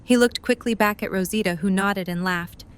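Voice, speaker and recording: A woman's voice: medium pitch, moderate pace, clean tone. A woman reading scripted third-person narration for an audio drama. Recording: faint background ambient noise.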